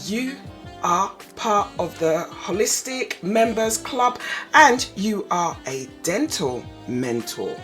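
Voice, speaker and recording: A woman's voice: medium pitch, soft background music. A woman hosting a radio show, playful and teasing as she interviews a guest. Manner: slow, lively and playful, teasing.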